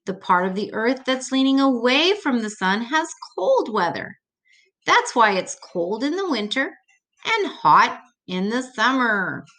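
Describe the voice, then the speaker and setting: A woman's voice: a little high, sing-song, measured pace, a bit bright. An older woman reading an educational children's book aloud, adding simple, patient explanations as she goes.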